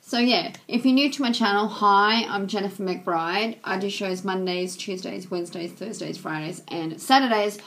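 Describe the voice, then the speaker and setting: A young woman with a hoarse voice from a cold chats casually to her viewers. She talks softly, filling pauses with ums. She is close to a webcam in a small room. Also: medium pitch. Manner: soft, chatty, with many ums. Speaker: YouTuber. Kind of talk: casual vlog chat to camera. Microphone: webcam at close range.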